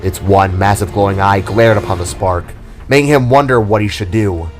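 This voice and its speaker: A man's voice: fairly low pitch, moderate pace, faint music behind. A man narrating a scripted story in a dramatic style.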